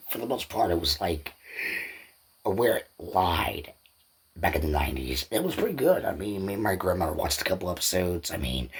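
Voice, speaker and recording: A man's voice: deep voice, fairly bright tone, slightly rough. A man hosting a solo podcast, talking informally and off the cuff in a rambling, repetitive way. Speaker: podcast host. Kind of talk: unscripted solo podcast talk.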